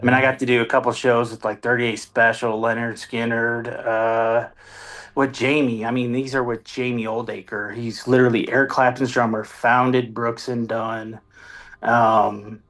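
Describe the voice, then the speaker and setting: A man's voice: mid-range voice, little pitch movement, normal speed, fairly bright tone. A man, a military veteran and songwriter, telling his story in a candid, rambling, self-deprecating way as an interview guest.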